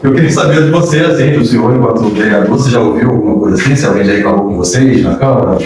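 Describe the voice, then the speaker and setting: A man's voice: medium pitch, fast, crowd noise. A man with a Brazilian Portuguese accent hosting a podcast and chatting in an informal, storytelling way. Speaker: podcast host. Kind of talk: casual interview chat. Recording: echoey room, distant mic.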